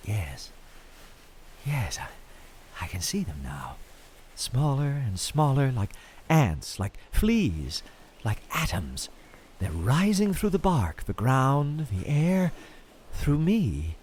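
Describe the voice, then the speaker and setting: A man playing an eerily fascinated field researcher in an audio drama, recording a halting, wondering monologue as he slips toward madness. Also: medium pitch, huge pitch swings, a little mellow, a little hiss or hum. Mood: hushed awe.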